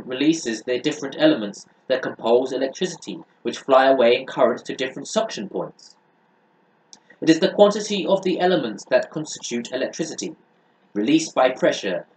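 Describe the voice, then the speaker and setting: Young male reader, mid-range pitch, declamatory book reading, small-room camera sound. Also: poor audio. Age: young adult.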